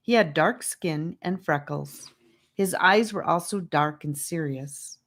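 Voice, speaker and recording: A woman narrating a children's audiobook in an expressive, scripted storytelling style. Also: deep voice, slow.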